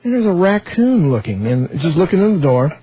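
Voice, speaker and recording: A man's voice: on the high side, big pitch swings, fairly quick, slightly rough. A man hosting a radio show, chatty and jovial, laughing readily with his guest. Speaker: radio host. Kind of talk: casual radio interview. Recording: dull lo-fi radio sound.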